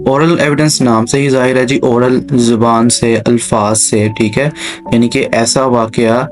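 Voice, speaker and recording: A man's voice: mid-range voice, steady tone, unhurried. A man with a Pakistani accent giving an explanatory lecture in simple terms, addressing listeners directly in an instructive way. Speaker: law lecturer and channel host. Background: background music.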